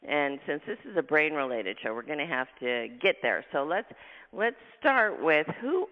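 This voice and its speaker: A woman hosting a radio show, talking fast and effusively in a husky voice, tired from travel. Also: low-pitched, very animated. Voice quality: husky, gravelly voice. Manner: fast, effusive talker, laughs often. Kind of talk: casual radio interview chat. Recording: tinny phone line with long reverb.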